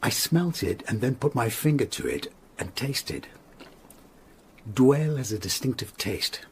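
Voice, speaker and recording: An actor playing a grave, methodical Tudor physician in a radio drama, explaining in a measured way. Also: male voice, medium pitch, expressive, a little hiss or hum. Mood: grave, analytical.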